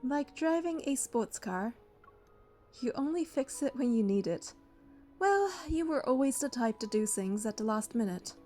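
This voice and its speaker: An actress playing a devoted, protective young bodyguard heroine in a romance game, telling her story in an earnest, measured way. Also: a little high, lively intonation, warm tone, faint music behind, natural voice. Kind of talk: romance game narration and dialogue.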